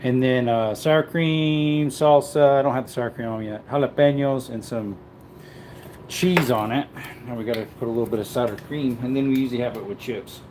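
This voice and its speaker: A man's voice: mid-range voice, steady tone, normal speed, background noise. A man casually chatting to viewers while cooking at home, rambling in an easygoing way. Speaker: cooking livestream host. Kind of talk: casual live-stream chat while cooking.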